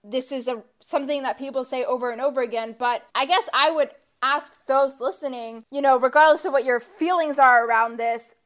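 A woman's voice: high-pitched, brisk, few pauses, fairly bright tone. A woman co-hosting a casual podcast, talking with passion and swearing a lot, full of fillers and restarts. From grew up in the US after her parents immigrated. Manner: impassioned, profane, rambling with many fillers. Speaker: podcast co-host.

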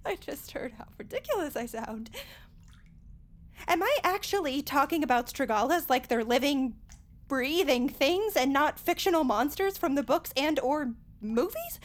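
A woman playing a nerdy, earnest high school superfan in an audio drama, explaining things at length. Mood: incredulous.

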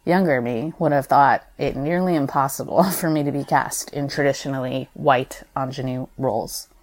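A young American woman, a stage performer, reading her personal essay aloud in an expressive, conversational way. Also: a bass voice, moderate pace. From United States. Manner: expressive, conversational, acts out quoted dialogue.

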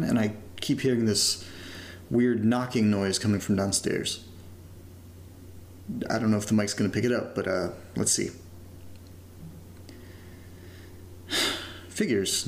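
A man's voice: lowish voice, creaky, a little hiss or hum. An actor playing a casual young man who documents his creepy new house in a found-footage horror audio drama, rambling informally with some swearing and self-mockery. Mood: puzzled, annoyed.

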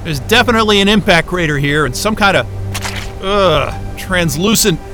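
An actor playing a wry, foul-mouthed, caring explorer in a naturalistic science fiction audio drama, talking casually with muttered hesitations. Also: male voice, high voice, lively intonation, normal speed, music in the background. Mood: curious, strained.

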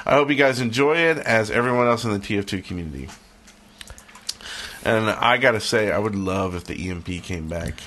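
Low-voiced man chatting casually. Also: a little fast, fairly bright tone. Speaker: podcast host. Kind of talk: casual panel chat, sometimes reading aloud.

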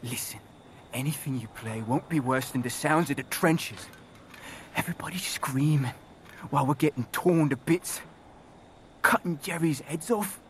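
An actor playing a mouthy, war-weary young soldier in an audio drama, cheeky and excitable. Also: male voice, fairly high pitch, normal speed, slight background noise, close to an everyday voice. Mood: bitter.

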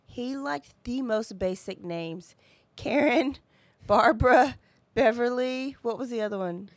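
A woman's voice: on the high side, a little slow, dry recording. A woman co-hosting a true crime podcast, chatting informally and chiming in with reactions and questions. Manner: informal, interjecting. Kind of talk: casual chat, reacting and questioning.

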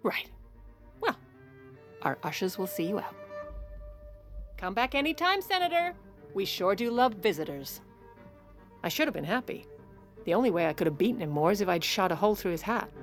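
A woman's voice: mid-range voice, big pitch swings, moderate pace, long pauses, close to an everyday voice. An actress playing a steely, protective First Lady in an audio drama, composed and commanding in both dialogue and confiding narration. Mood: icy, firm. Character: steely, protective First Lady covering for her ailing husband. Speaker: lead character and narrator. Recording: music under the voice.

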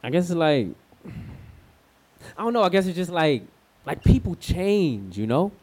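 An actor playing a laid-back, flirty male guest on a radio show in a radio play, terse, casual and halting. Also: fairly high pitch, big pitch swings, darkish tone, heavily acted. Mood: guarded, casual. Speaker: studio guest.

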